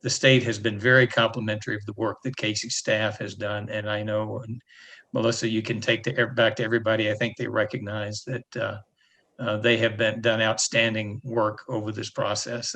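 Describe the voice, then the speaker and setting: A man with a low, quite flat voice gives measured remarks at a meeting. He speaks earnestly and steadily. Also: vocal fry.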